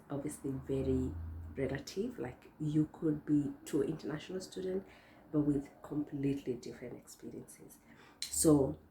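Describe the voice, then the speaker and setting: A hoarse young woman talks to her viewers in a loose, rambling vlog style, full of fillers and restarts. Her voice is very deep and mellow. The room has a roomy echo with a faint hum behind it. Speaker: vlogger host. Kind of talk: casual talk to camera. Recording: echoey room.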